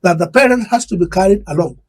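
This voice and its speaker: High-pitched, husky Nigerian man. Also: animated, fast. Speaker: education expert guest. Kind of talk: broadcast interview, explanatory.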